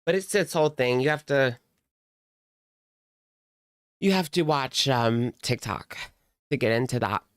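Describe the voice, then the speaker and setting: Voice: mid-range voice, lively intonation, a little slow, long pauses, no echo, clean studio sound. A streamer giving casual live commentary in a blunt, sarcastic, conversational way. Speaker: reaction streamer.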